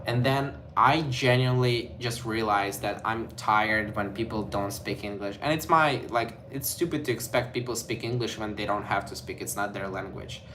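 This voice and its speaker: A man's voice: on the low side, moderate pace, hardly any pauses, a bit bright, a little hiss or hum. A young man from Ukraine with a Ukrainian accent, chatting casually to camera in a vlog, rambling and filling his talk with "like" and "you know". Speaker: vlogger.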